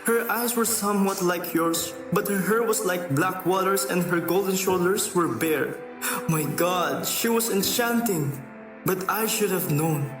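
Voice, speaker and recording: A man's voice: high voice, unhurried. An actor playing a teasing, mock-stern old grandfather in a radio drama, given to dramatic, wistful storytelling. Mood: wistful, enchanted. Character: playful old grandfather, teasing, theatrical, wistful former vain fellow. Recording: echoey, distant mic, music underneath.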